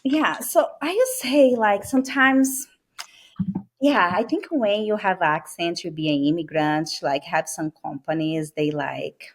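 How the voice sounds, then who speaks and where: Woman, 30s, strong Brazilian accent, lowish voice, hesitant and chatty, lots of fillers. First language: Portuguese. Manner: halting, with frequent "like" and "you know" fillers. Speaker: guest, medical sales rep. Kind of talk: casual interview chat.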